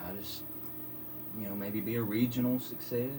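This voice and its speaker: A man with a gravelly voice, a musician guest talking easily and modestly in a radio studio interview. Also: fairly low pitch, steady tone, moderate pace. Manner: modest, easygoing, trailing off. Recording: studio mic with audible background noise.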